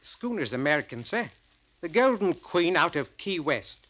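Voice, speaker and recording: A man's voice: fairly high pitch, very animated, normal speed, fairly bright tone. A man playing a dutiful, respectful British chief petty officer, reporting in clipped, formal 1940s radio drama style. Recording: dull vintage radio recording.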